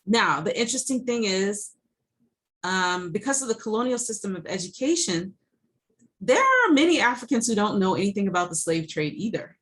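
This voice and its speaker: A woman's voice: medium pitch, sing-song, normal speed, a bit bright. A middle-aged woman, a college professor, giving a recorded lecture over slides in a conversational way, with many ums and restarts.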